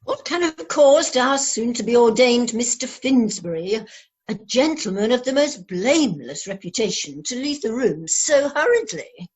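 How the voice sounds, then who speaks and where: A woman playing a prim upper-class lady writer in a radio play, husky, formal and composed. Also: a little high, big pitch swings, a little slow, exaggerated voice. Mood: archly composed. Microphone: video call.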